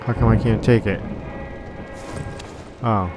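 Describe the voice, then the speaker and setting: Slow-talking man musing over a game. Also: on the low side, fairly even intonation, music in the background. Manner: slow, musing, jokey asides. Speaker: game streamer. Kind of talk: casual let's-play commentary.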